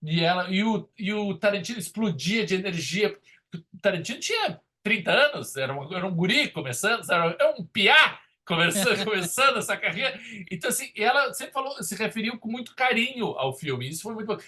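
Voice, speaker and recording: A man's voice: high voice, expressive, a little fast, bright, forceful tone. Emphatic southern Brazilian man chatting film. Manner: emphatic, colloquial storytelling. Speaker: film podcast host. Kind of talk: casual, opinionated film discussion.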